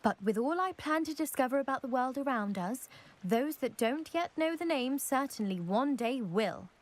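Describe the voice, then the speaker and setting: A woman's voice: high-pitched, expressive, moderate pace. A woman playing a proud, eager young lady from a scholarly family in a video game, with a posh British accent and precise, self-assured delivery. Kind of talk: video game character acting.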